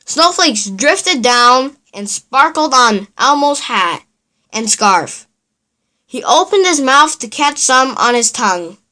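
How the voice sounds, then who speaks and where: A woman reads a picture book aloud for young children in a high, bright voice. She tells it slowly and expressively, with lots of melodic movement. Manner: expressive, deliberate storytelling. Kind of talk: storybook read aloud for kids.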